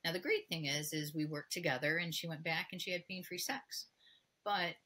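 A middle-aged woman speaks in a low voice with a bright, forward edge. She is candid and conversational, like an expert guest on a podcast, and slips into quoted dialogue as she explains things on a video call. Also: moderate pace, slightly rough. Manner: candid, animated, often acting out quoted dialogue. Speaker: guest expert, pelvic floor physical therapist. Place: indoor room, video call.

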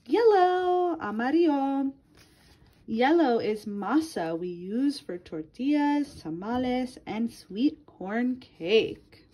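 A woman's voice: a little high, lively intonation, takes it slowly, dark tone, poor audio. A woman reading a picture book aloud to children in a sing-song manner, addressing her young listeners directly.

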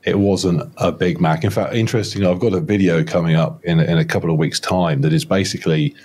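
A man's voice: deep voice, steady tone, quick pace, a little mellow. A man of about fifty, a podcast guest telling his story in a rambling, self-deprecating way, with many restarts. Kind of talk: casual podcast interview. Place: home studio room, indoors. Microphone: close studio mic with headphones, video call.

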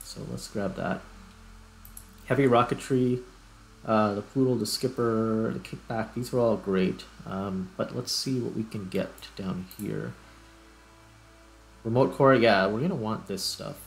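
A man giving casual gameplay commentary as host, thinking aloud in broken, halting phrases. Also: lowish voice, creaky, slight background noise, poor audio. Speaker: gaming video host. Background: music.

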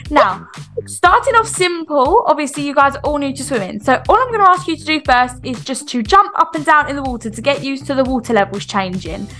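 High, bright-voiced woman playing a swim coach. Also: animated, brisk, faint music behind. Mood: encouraging. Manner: instructive, reassuring, polite. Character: encouraging, rule-minded female children's swimming coach. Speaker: swimming coach in a game roleplay. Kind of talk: improvised online game roleplay.

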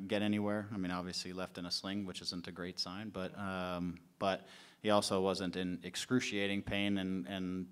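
Low, smooth-voiced man at a press table. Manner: measured, thinks aloud with fillers and restarts. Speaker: head coach. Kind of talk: post-match press conference answers. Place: press conference room. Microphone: table mic in front of him.

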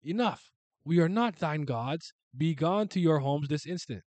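A haughty male god of old speaks in slow, lofty archaic phrases, a little higher and louder than an everyday voice, as a man reading a comic puts him on. The sound is dry and close. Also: expressive, no room sound. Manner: archaic, grand, slow, declaiming. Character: proud quarrelling male god brothers.

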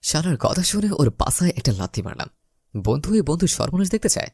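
A man's voice: fairly high pitch, sing-song, a little fast, darkish tone, a little hiss or hum. A young man narrating a romantic comedy story in the first person, theatrically acting out the lines. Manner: theatrical, acts out his lines.

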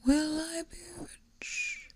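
A young woman whispering and softly singing lullabies as an ASMR host, recorded close on a binaural mic in a small room. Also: high-pitched, flat delivery, drawn-out words. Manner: soft whispering, sings lullabies quietly.

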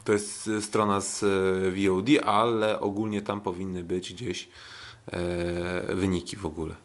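Halting man chatting casually. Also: deep voice, little pitch movement. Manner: hesitant, halting, repeats himself. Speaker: podcast co-host and kickboxing commentator. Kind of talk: casual unscripted sports chat.

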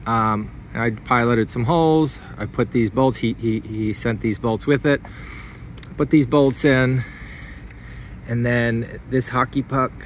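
A man giving a casual, unscripted hands-on walkthrough in short, halting phrases. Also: mid-range voice. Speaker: hobbyist demonstrating an antenna installation. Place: outdoors beside a car in a residential driveway. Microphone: handheld phone filming the car. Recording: outdoor noise behind the voice.

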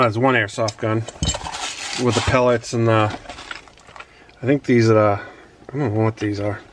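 Slow-paced middle-aged man, noisy home recording. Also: mid-range voice. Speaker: reseller showing storage unit finds. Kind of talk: casual handheld show-and-tell. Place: small cluttered home office, indoors. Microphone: handheld phone or camera.

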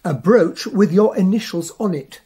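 An actor playing a bluff British gentlemanly sidekick who narrates and talks in a radio play. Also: male voice, high voice, moderate pace, breathy edge. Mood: matter-of-fact.

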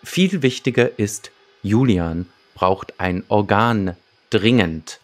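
Podcaster mit tiefer Stimme. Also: male voice, lowish voice, expressive, slow. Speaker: podcast co-host. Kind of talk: conversational podcast talk with prepared plot summaries. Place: small home room with shelves. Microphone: close desktop microphone.

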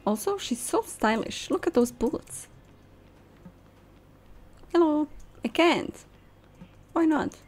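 A woman's voice: high voice, lively intonation, slow, long pauses, a little mellow. A young woman musing aloud with delight as she gives casual commentary while playing a game. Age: young adult. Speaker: game streamer. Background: game music.

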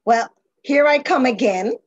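A woman host speaks slowly and emphatically in a slightly high voice. Her audio comes through dull and dry, like a video-call mic, with no room sound. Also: breathy edge, dry recording. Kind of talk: hosting an online talk.

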